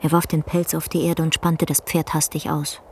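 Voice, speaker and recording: An actress narrating a literary radio play in a measured, solemn way. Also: female voice, low voice, level tone, a little mellow, a little hiss or hum. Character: solemn storyteller of an old man and horse.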